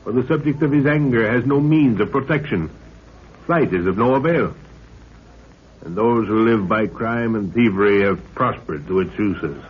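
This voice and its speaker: An actor playing a brisk, commanding professor who leads a jungle expedition in a 1930s radio drama, authoritative and courteous. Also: male voice, on the low side, animated, natural voice. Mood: earnest, formal. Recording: muffled, noisy 1930s radio recording.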